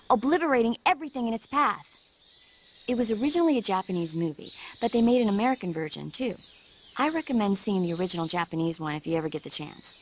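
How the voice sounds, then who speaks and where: An actress playing a friendly, earnest radio support operator who chats enthusiastically in a video game. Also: female voice, mid-range voice, slight background noise, natural voice. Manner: chatty, earnest.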